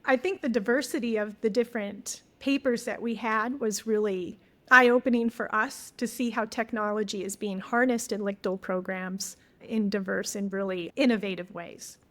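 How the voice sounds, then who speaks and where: A woman's voice: medium pitch, steady tone, moderate pace, a bit bright. A woman guest editor explaining her special issue in an organized, enthusiastic way during an interview. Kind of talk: academic interview.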